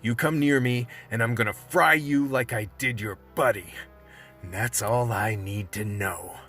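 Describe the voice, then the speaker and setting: A man's voice: medium pitch, measured pace, a bit bright, soft background music, lightly acted. A man playing a tough survivor who narrates his fight with vampires in a first-person radio play. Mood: grim, steady narration.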